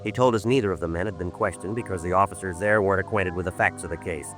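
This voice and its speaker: A deep-voiced man acting as a dogged police sergeant in an old radio drama. He speaks briskly and flatly, clipped and matter-of-fact, like an official report. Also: music in the background, natural voice. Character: terse, dogged male police sergeant narrating and interrogating. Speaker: detective narrator. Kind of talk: 1950s radio crime drama acting and narration.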